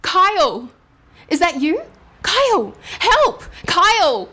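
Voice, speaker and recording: A high-voiced woman in distress, in an audio drama. She is frightened and pleading for help. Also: very animated, slow, sharp tone, full character voice. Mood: panicked.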